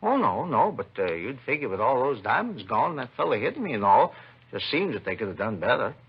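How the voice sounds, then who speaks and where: A needy merchant in an old radio drama. He sounds husky and creaky, talking fast in a fretful, insistent, complaining way. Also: medium pitch, very animated, full character voice. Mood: fretful, dissatisfied. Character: anxious, fussy, needy male diamond dealer, robbery victim. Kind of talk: 1950s radio drama acting. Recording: dull 1950s radio recording.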